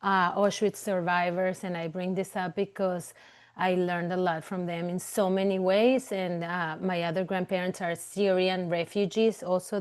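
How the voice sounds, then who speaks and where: A woman's voice: on the low side, darkish tone. A woman from Venezuela, a clinical psychologist, giving a webinar talk over a video call in a loose, conversational way full of fillers and restarts. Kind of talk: webinar presentation.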